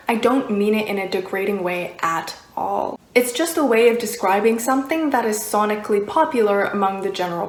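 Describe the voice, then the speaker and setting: A woman giving an explanatory video essay commentary in a conversational, measured way. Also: on the high side. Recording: roomy, echoing voice recording.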